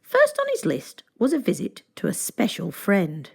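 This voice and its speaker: A woman reads a children's story aloud as narrator. Her intonation is very lively, and she phrases deliberately and expressively. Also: medium pitch, gravelly, breathy edge.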